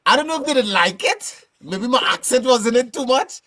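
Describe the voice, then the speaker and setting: A man from Trinidad with a Trinidadian accent, telling stories in a relaxed, rambling way as a guest in a casual interview. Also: very high-pitched, moderate pace. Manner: relaxed, rambling, frequent "you know what I'm saying". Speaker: guest musician.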